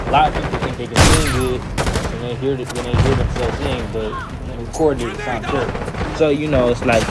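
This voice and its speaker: A fourteen-year-old boy rambling casually to his viewers in a storytime vlog, full of "you know" fillers and restarts, talking straight to the audience in informal slang. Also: mid-range voice. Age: teens, 14. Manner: addresses viewers directly, informal slangy speech. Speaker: vlogger. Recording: loud background noise and clicks.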